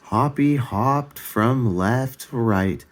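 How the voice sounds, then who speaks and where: A man reading a children's storybook aloud as a storyteller, expressive and deliberate, with the odd stumble. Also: mid-range voice.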